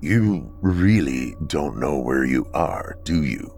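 A man voicing a calm, androgynous bunker dweller from the far future, speaking slowly and sternly. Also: rumbling low, faint music behind, pitched below the performer's own voice, exaggerated voice. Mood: calm, grave. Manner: slow, measured, stern.

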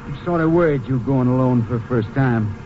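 A man's voice: mid-range voice, brisk, lightly acted. An actor playing an irritable, bossy small-time car thief, a man with scolding, slangy rural speech in a radio drama. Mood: irritated, bossy. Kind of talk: 1950s western radio drama acting. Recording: muffled, noisy 1950s radio recording.

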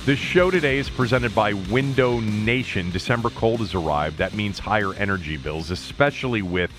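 A man's voice: on the low side, moderate pace, over music. A man hosting a sports talk show, speaking in an emphatic way and stretching out key words. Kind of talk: sports commentary with ad read.

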